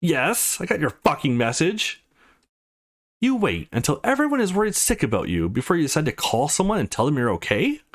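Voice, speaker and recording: A furious young woman from a visual novel, acted out by a man. She scolds and accuses emphatically, the pitch often pushed up and swinging very widely. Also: wildly swooping pitch, brisk, heavily acted. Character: angry, scolding, foul-mouthed young woman. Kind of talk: visual novel character reading.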